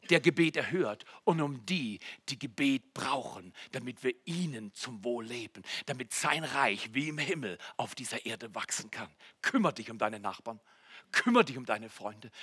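A man in his forties preaching a sermon in church, jumping from loud exclamations to hushed near-whispers and speaking straight to the congregation. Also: high voice, no echo, studio quality. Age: 40s. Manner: addresses the congregation directly with jokes and questions. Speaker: pastor.

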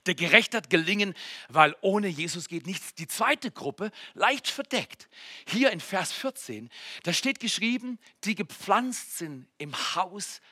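A man in his forties preaching a sermon in church, jumping from loud exclamations to hushed near-whispers and speaking straight to the congregation. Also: high-pitched, expressive, measured pace, no room sound, studio quality. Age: 40s. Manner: addresses the congregation directly with jokes and questions. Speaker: pastor.

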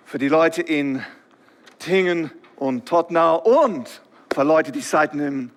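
Man, English accent, guest preacher, unhurried, reverberant hall. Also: fairly high pitch, a little hiss or hum. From England. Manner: slow, friendly, searching for words. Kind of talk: sermon.

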